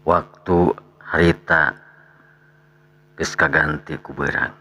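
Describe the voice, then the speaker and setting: A man narrating a dramatic radio tale as storyteller, with a gravelly, husky voice and pauses between short phrases. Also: rumbling low, steady tone, pushed and bright.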